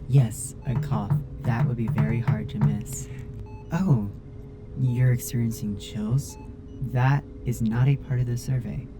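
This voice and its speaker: A man voicing a caring robot crewmate in an ASMR roleplay, speaking in a formal, precise, measured way. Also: fairly low pitch, natural voice. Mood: calm, attentive. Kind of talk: ASMR roleplay audio drama.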